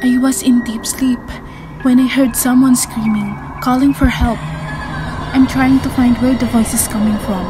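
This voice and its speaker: A woman's voice: on the high side, fairly even intonation, normal speed, over music, lightly acted. A woman with a Filipino accent playing a resentful, anxious young narrator in an amateur horror audio drama. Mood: uneasy.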